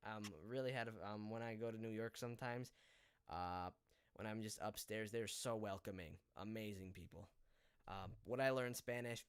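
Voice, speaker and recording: A man's voice: fairly low pitch, moderate pace, smooth, no room sound. A man whose first language is Polish hosts a casual live podcast as a voice actor. He rambles and hesitates, with many fillers and restarts. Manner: apologetic, backpedaling.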